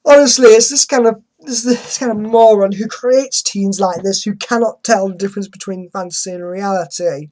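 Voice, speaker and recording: A woman's voice: mid-range voice, steady tone, normal speed, hardly any pauses, darkish tone, rough recording. A woman hosting a dramatic reading, commenting with sarcastic, exasperated emphasis. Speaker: host reading an article aloud with commentary.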